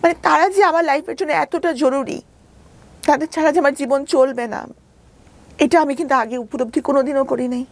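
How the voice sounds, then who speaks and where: A woman's voice: high voice, fairly even intonation, fast talker. A woman with a Bangladeshi accent telling her personal story as an interview guest, emphatic and insistent, often repeating her points.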